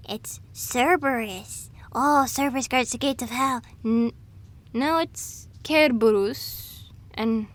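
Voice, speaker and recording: A woman with a high voice talks in a loose, rambling way. She is chatty and scatterbrained, jumping along casually with an amused tone, as in an informal audio roleplay. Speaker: audio roleplay performer playing a rambling girlfriend. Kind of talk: casual rambling monologue of trivia.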